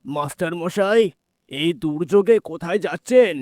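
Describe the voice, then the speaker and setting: A man's voice: on the high side, normal speed, darkish tone, no room sound, studio quality, close to an everyday voice. A man playing a polite, concerned village uncle in a cartoon horror story, speaking respectfully and with caution.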